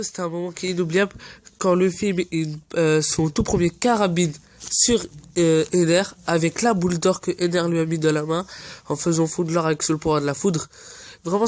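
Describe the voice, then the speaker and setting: High-voiced man, stuffy with a cold. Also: fairly even intonation, moderate pace, dry recording. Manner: earnest and hesitant, often searching for words. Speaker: anime fan channel host. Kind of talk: casual fan commentary.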